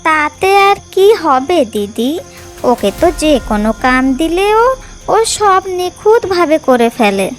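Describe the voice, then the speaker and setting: A woman playing a spiteful, sharp-tongued younger sister-in-law in a cartoon, catty and fawning. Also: high voice, sing-song, a little slow. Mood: catty, curious. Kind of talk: exaggerated cartoon fairy-tale dialogue. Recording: music behind the voice.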